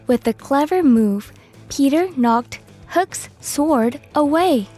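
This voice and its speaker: High-pitched, animated woman storyteller. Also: slow pace, soft background music. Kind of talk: scripted children's story narration.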